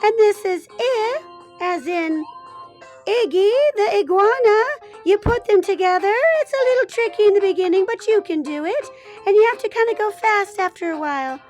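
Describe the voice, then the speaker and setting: A woman's voice: extremely high pitch, moderate pace, darkish tone, faint music behind. A woman voicing a cheerful, chatty female teacher character who encourages young children through a phonics lesson. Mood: cheerful, encouraging.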